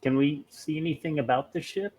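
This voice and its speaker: A man's voice: a little high, brisk, a little mellow, slightly rough. A man playing a tabletop role-playing game, chatting casually and tentatively, circling back over his words.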